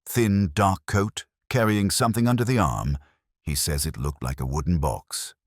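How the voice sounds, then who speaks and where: A man voicing a keen, deferential police sergeant reporting briskly to a superior in a mystery story. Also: deep voice, no echo, studio quality, pitched above the performer's own voice. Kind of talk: mystery audiobook character reading.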